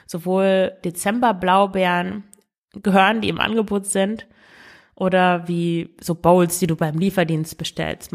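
A woman's voice: lowish voice, a little mellow. A woman hosting a podcast with frugal living tips, talking in a chatty, direct way to her listener while she has a cold. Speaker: podcast host. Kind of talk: casual money-saving tips podcast.

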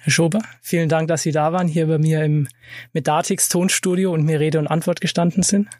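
A man's voice: a little high, little pitch movement, fairly quick, a little mellow. A male podcast host who asks interview questions politely and formally, recorded in a studio. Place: company sound studio. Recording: dry studio sound.

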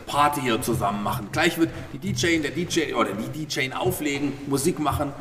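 A man's voice: mid-range voice, fairly quick, faint music behind. A man hosting a party in a children's audio play, calling out questions to a cheering crowd of children. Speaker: party host leading a group of children. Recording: echoey noisy hall, far off, loud crowd.

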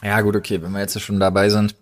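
A man's voice: lowish voice, completely flat delivery, quick pace. A man co-hosting a podcast, chatting casually in slangy, colloquial language. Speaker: podcast co-host.

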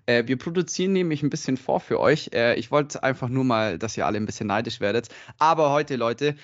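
A man's voice: mid-range voice, fairly quick. A man hosting a podcast, opening the episode in a chatty, joking way. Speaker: podcast host. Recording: dull lo-fi sound.

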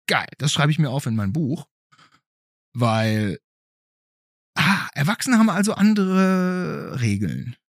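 Male podcast interviewer, middle-aged. Husky, very lively intonation, playful and curious, close dry sound. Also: fairly high pitch, takes it slowly, pauses often, no room sound. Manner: playful, inquisitive. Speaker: podcast host interviewing a child. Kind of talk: casual interview chat.

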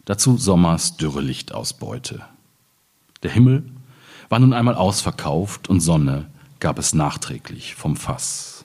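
A man from the Ruhr area in Germany reading his own poems in measured phrases. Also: extremely low pitch, darkish tone, slightly rough. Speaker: poet reading own work.

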